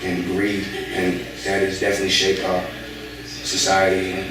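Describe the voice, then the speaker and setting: A man's voice: sits low, completely flat delivery, normal speed, music in the background. A young American man talking casually in an interview, hesitant, with many fillers and restarts. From United States. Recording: echoey room, voice from far off.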